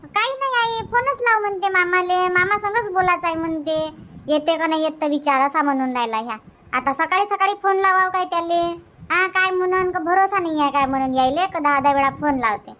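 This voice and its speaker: A woman's voice: very high voice, a little fast, pushed and bright. A woman voicing a busy young village mother in a cartoon comedy, nagging and repetitive, with a Varhadi Marathi accent.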